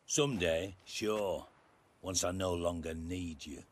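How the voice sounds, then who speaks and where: An actor playing a cunning, blunt middle-aged spymaster in a video game, speaking deliberately and sardonically with a British accent. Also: male voice, deep voice. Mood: sardonic. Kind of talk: video game cutscene dialogue.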